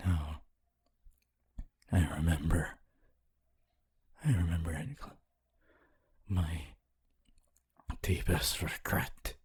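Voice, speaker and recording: Man playing a slow, breathy, lonely male ghost. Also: sits low, exaggerated voice. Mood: wistful. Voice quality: breathy, hushed. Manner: slow, halting, wistful, intimate. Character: honorable lonely male ghost, former teacher, tender and regretful. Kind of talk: ASMR roleplay monologue.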